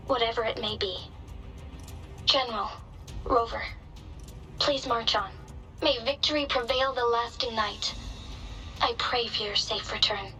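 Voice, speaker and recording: A dignified magistrate in a game drama. Her voice is fairly high and bright, formal and solemn, full of poised resolve, with faint music behind. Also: low-quality recording. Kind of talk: dramatic game cutscene acting.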